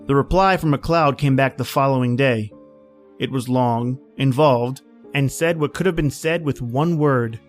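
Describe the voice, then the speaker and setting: A man's voice: mid-range voice, measured pace, faint music behind, lightly acted. An actor playing a plain-spoken man at a snowbound outpost, telling his story in a folksy, conversational old-time radio style. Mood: reflective.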